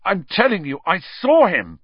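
A man's voice: high voice, huge pitch swings, a little slow, husky, no echo, muffled recording, voice pushed up, heavily acted. A man voicing a terrified teenage boy in an audiobook, frantic and insistent, with urgent exclamations in a strained voice. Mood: shaken, insistent.

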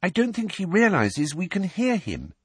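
A man voicing an earnest, gentle teenage girl in a storybook, tentative and pleading. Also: sits high, wildly swooping pitch, moderate pace, breathy edge, dry recording, clean studio sound, voice pushed up, heavily acted.

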